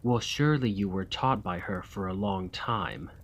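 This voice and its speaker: A man playing a desperate, weary immortal gentleman vampire in an ASMR roleplay, speaking formally and courteously in a breathy, husky voice that often drops to a whisper. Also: on the low side, moderate pace, lightly acted. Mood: stern, threatening. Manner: formal, courtly, old-fashioned phrasing.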